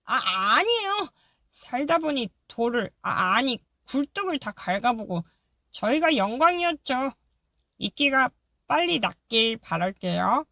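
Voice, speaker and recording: A woman's voice: sits high, very animated, a little slow, vocal fry, bright, forceful tone, no echo, clean studio sound, exaggerated voice. A woman voicing a polite, wary little termite who speaks bluntly but kindly in a storybook audiobook. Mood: earnest. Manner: polite, hesitant, bluntly practical. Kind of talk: audiobook character voice.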